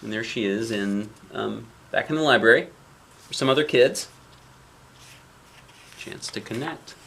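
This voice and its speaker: A man with a medium-pitched voice reads a children's book aloud. He is expressive, leaning on important lines, and slips into casual explanations for young listeners. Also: measured pace, creaky, slightly rough, low-quality recording. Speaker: picture book reader, dad.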